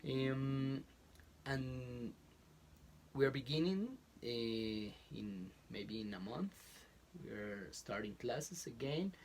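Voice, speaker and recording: A Colombian-accented man speaking slowly and deliberately, in a middle-pitched voice. He stops and restarts his phrases as he hunts for the right words, like a professor chatting as a podcast guest. The recording was made in a small closet at home. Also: expressive, slight background noise. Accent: Colombian Spanish. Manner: halting, searching for words with many restarts. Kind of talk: casual interview chat.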